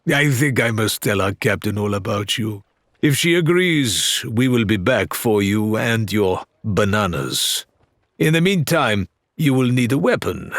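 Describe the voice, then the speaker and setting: Smooth talking pirate for an audiobook, husky, swoops up and down, theatrical and polite. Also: male voice, fairly low pitch, a bit bright, no room sound, clean studio sound. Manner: unhurried, theatrical, mannered phrasing. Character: suave, teasing, courteous male pirate, smooth-talking negotiator. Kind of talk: audiobook character acting.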